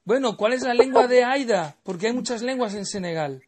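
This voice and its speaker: A man's voice: very high voice, lively intonation, brisk, a crowd in the background. A man teacher on a radio chat, explaining plans in a friendly way.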